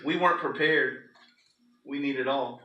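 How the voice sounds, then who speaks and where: A man's voice: mid-range voice, a little slow, sharp tone. A man, a pastor, giving a theatrical, emphatic dramatic reading of a story to a congregation. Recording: echoey room, distant microphone.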